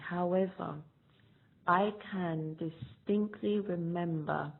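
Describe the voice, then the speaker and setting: A woman from Ghana giving a reflective, measured personal testimony about her own past. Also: sits low, gravelly. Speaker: storyteller sharing personal experience. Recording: tinny phone-like line.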